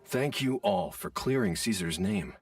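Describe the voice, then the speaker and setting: Animated, emphatic man in a fantasy game. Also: fairly low pitch, unhurried, no room sound, clean studio sound, distant mic, faint music behind. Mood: grateful, surprised. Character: indignant plain-spoken man grieving a wronged friend, fantasy game. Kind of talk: video game voice acting.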